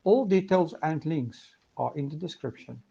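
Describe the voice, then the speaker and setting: A man's voice: fairly high pitch, animated, moderate pace, gravelly, dull audio, over loud music. A man hosting a casual interview over a video call, easygoing, with frequent filler words. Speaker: host.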